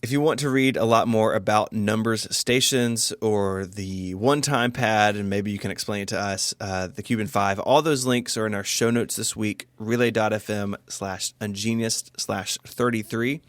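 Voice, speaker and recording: A man's voice: lowish voice, steady tone, normal speed, hardly any pauses, no echo. Man co-hosting a podcast, dry close sound. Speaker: podcast co-host. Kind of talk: semi-scripted conversational podcast narration.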